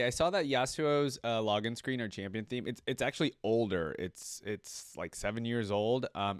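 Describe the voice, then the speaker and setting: A man's voice: on the low side, smooth, no echo, studio quality. A man hosting a reaction video, giving casual, informal commentary in a conversational way. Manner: informal, conversational, checks in with "you know what I mean". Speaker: reaction video host and streamer.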